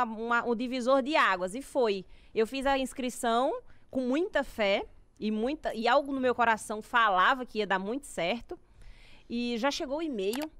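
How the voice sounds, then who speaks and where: A woman in her twenties with a drawling way of talking, telling stories as a guest in a small podcast studio on a boom mic, lively, slangy and animated. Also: clear, resonant tone, no room sound. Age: 20s. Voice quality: drawling speech. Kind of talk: casual storytelling chat. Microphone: studio boom mic with headphones.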